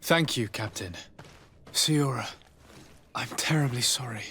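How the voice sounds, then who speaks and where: A man acting a courteous, composed noble diplomat with a formal, measured manner in a video game. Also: medium pitch, pushed and bright, husky, heavily acted. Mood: gentle, solemn.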